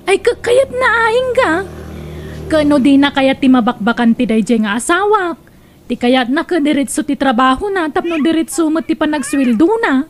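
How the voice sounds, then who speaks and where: A woman's voice: high voice, animated, moderate pace, slight background noise. A woman playing a plain-spoken, chatty younger neighbour in an Ilocano comedy radio drama. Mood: chatty, explaining.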